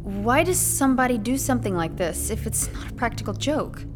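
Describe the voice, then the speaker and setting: An actress playing a frightened, sharp-tongued young woman trapped in an apartment in an audio horror drama. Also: fairly high pitch, expressive, a little fast, over music, close to an everyday voice. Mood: hushed, uneasy. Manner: sarcastic edge under fear.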